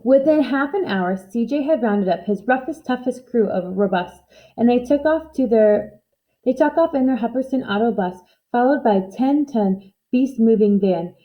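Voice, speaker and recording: A woman reading a children's storybook aloud in expressive narration. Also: fairly high pitch, normal speed, lo-fi sound. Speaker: storybook reader.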